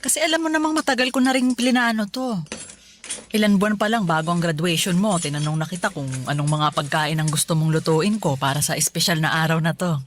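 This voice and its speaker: An actress playing a loving, selfless middle-aged mother in a Filipino radio drama, reassuring and unhurried. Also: fairly low pitch, slight background noise, close to an everyday voice. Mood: warm, proud. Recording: music bed under the voice.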